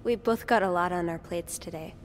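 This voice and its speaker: Woman playing a gentle, curious hotel maid sleuth. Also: lowish voice, a little fast, a little hiss or hum, lightly acted. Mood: empathetic. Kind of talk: video game voice acting.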